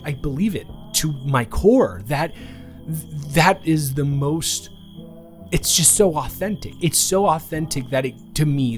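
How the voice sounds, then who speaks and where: A young man playing a nervous, earnest, sweetly awkward college student in a romantic audio roleplay, stammering and rambling with emphatic repetition. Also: a little high. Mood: admiring, earnest.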